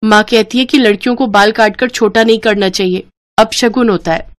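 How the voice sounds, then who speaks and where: A woman's voice: mid-range voice, fairly even intonation, moderate pace, no room sound, lightly acted. A shy, unhappy college girl, played by a female narrator in a cartoon moral tale. Her voice is plaintive and self-conscious, that of a younger sister who feels small. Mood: dejected.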